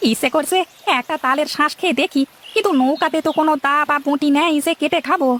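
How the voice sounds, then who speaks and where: High, quick, obliging cartoon boatman voiced by a woman. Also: a bit bright. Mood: deferential, eager. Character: humble, obliging boatman, deferential to his master. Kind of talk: acted dialogue in a moral-story cartoon.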